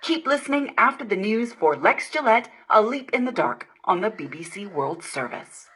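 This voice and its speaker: A woman's voice: medium pitch, big pitch swings, normal speed, a crowd in the background. A woman narrating a scripted, dramatic radio programme trailer, heard over digital shortwave radio.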